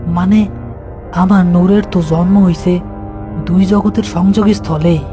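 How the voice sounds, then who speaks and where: A man's voice: high-pitched, steady tone, measured pace, over music. A man voicing an anxious, protective young uncle in a cartoon, speaking colloquially with a Bangladeshi accent. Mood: pondering. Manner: tender, worried, colloquial. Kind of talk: horror cartoon character acting.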